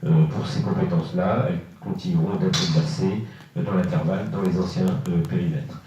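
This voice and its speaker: A man presenting formally at a local council meeting, explaining in a halting, hesitant way with frequent filled pauses. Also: sits low, fairly even intonation, noisy background. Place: reverberant indoor meeting room. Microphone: distant microphone. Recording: echoey, muffled room, distant mic.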